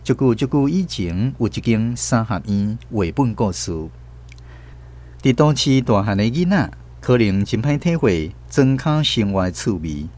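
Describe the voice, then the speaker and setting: A man's voice: medium pitch, animated, unhurried, darkish tone. A man with a Taiwanese accent hosting and narrating stories in a scripted radio storytelling style. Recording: music bed behind the voice.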